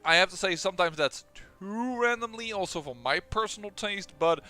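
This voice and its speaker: Excitable Dutch man commentating a game. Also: high voice, faint music behind. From Netherlands. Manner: excitable, talks quickly with restarts. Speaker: let's play host.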